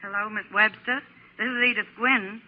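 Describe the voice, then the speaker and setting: An American woman playing a brisk, chatty Hollywood gossip columnist in a scripted 1940s radio segment. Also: medium pitch, fairly even intonation, phone-quality sound, noisy background, natural voice. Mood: chatty, knowing. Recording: band-limited 1940s radio recording.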